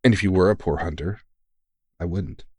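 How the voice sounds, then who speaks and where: Veteran vampire hunter played by a man for audio roleplay: very deep, close and dry, earnest, sweary, reassuring. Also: moderate pace, a little mellow, husky, no echo. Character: big, gruff but caring veteran male vampire hunter, mentoring. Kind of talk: intimate audio roleplay monologue.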